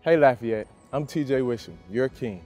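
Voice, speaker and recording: A man's voice: mid-range voice, moderate pace, a little mellow, faint music behind. A young man from Louisiana, the homecoming king, speaking in a confident, upbeat way in an outdoor campus interview. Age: young adult.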